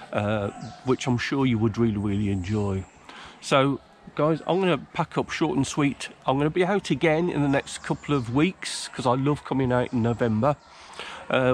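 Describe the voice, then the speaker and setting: Older guy chatting in the woods, lots of ums, faint outdoor hum behind. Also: mid-range voice. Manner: rambling, many ums and restarts. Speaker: outdoors vlogger. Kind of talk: casual talk to camera. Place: outdoors in a woodland. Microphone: camera a few feet away.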